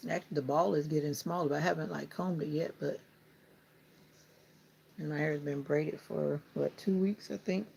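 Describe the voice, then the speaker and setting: A woman's voice: sits low, steady tone, normal speed, vocal fry, a little mellow, slight background noise, rough recording. A woman with a Southern US accent, chatting casually with viewers and laughing often while she trims her hair. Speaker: vlogger. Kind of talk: casual chat with live viewers.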